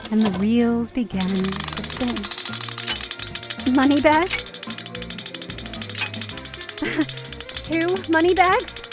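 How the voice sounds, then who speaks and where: A woman playing a hopeful, down-on-her-luck heroine who tells her own story earnestly and expressively in an audio drama. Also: sits high. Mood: amazed. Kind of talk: first-person audio drama narration and dialogue. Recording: roomy echo, distant mic, music behind.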